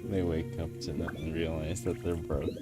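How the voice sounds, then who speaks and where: A man's voice: a bass voice, level tone, normal speed, mellow. A man giving casual gameplay commentary as co-host of a game stream. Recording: game music behind voice.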